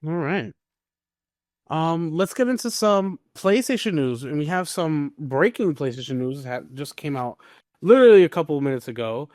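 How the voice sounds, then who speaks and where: A man's voice: a little high, expressive, moderate pace, a little mellow, no room sound, studio quality. A man hosting a podcast, talking through gaming news casually and emphatically, repeating words to stress a point. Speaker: podcast host.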